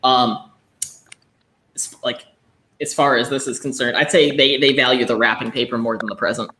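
A man streaming a casual chat, rambling and stammering, and quick to laugh. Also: mid-range voice, steady tone, sharp tone.

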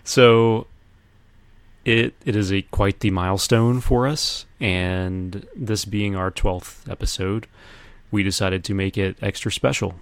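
A man's voice: lowish voice, steady tone, takes it slowly, clean tone, dry recording. A man hosting a casual podcast with friends, bantering in a jokey, self-deprecating manner. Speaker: podcast host.

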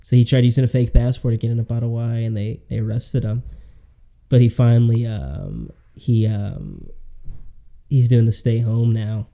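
A man's voice: medium pitch, animated, moderate pace, gravelly, mellow. A man co-hosting a podcast, chatting casually in a slangy, rambling way. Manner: slangy, rambling, says "man" and "dude" often. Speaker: podcast co-host.

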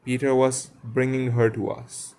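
A man's voice: mid-range voice, flat delivery, measured pace, mellow, pitched above the performer's own voice, natural voice. Man reading anxious lost boys in a storybook. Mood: sorrowful. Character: band of anxious, awestruck lost young boys. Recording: faint background noise.

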